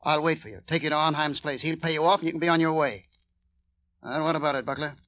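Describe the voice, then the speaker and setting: A cold, bullying henchman played by a man in an old radio drama, his voice slightly high and clipped. The sound is dry and dull with no room. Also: steady tone, quick pace, no room sound, close to an everyday voice. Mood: menacing. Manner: threatening, clipped tough-guy delivery. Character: menacing male gangster henchman, cold and bullying. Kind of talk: 1940s radio acting.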